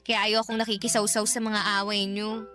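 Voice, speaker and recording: A woman's voice: medium pitch, flat delivery, normal speed, pushed and bright, close to an everyday voice. A woman playing an outspoken, jokey young daughter and loyal best friend in a Filipino radio drama, with slangy, teasing delivery and a Filipino accent. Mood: breezy, frank. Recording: music bed behind the voice.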